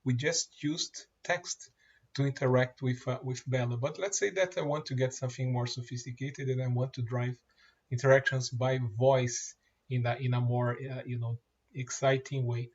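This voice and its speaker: Male presenter, native Portuguese speaker, demoing software, mid pitch, halting delivery, muffled recording. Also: little pitch movement, breathy edge. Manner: halting, many fillers and restarts. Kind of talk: screen-share product demo.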